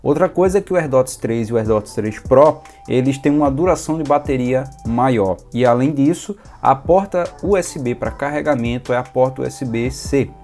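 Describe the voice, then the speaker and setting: A man's voice: mid-range voice, normal speed, hardly any pauses, darkish tone. A man with a Brazilian Portuguese accent giving an informal, organized product review in a conversational, explanatory way. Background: background music.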